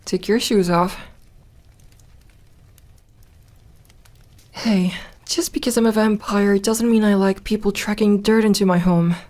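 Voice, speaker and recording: A woman's voice: mid-range voice, fairly even intonation, normal speed, darkish tone, natural voice. A woman playing an ancient, lonely vampire in an ASMR roleplay, playful and tender, with a teasing drawl that turns hesitant when flustered. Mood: teasing, flirty.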